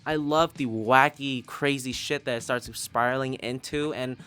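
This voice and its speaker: A man giving a casual, sweary video review of audio drama podcasts in an expressive, informal way. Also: mid-range voice, normal speed, clear, resonant tone. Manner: expressive, foul-mouthed, informal. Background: background music.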